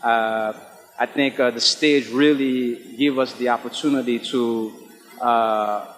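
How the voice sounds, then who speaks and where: A man from Haiti, a native Creole speaker, giving earnest, halting interview answers as a stage actor. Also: mid-range voice, steady tone, a bit bright, big-room sound, faint music behind.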